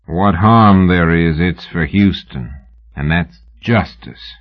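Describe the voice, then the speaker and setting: A man reading an audiobook, voicing a menacing, weary masked Texian gunman who talks in a slow, dry, toneless drawl. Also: low-pitched, highs cut off. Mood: grim. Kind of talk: audiobook character voice.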